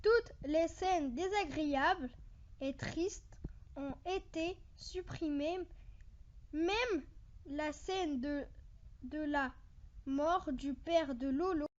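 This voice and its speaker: A very young-sounding host narrating an informal online countdown, reading haltingly phrase by phrase with casual asides to viewers. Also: high voice, highs cut off, slight background noise. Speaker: video host.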